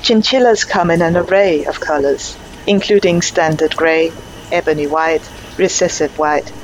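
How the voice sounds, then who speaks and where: A woman's voice: deep voice, moderate pace, natural voice, poor audio. A woman playing a crew member who recites facts methodically, like a list, in a sci-fi comedy audio drama. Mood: methodical, informative. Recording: steady hum behind the voice.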